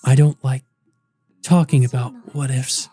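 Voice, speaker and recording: A man voicing a dying, tender and weary ancient vampire woman from a visual novel, speaking faintly and haltingly. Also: medium pitch, fairly even intonation, warm tone, husky, soft background music. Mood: feeble, wistful. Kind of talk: visual novel character reading.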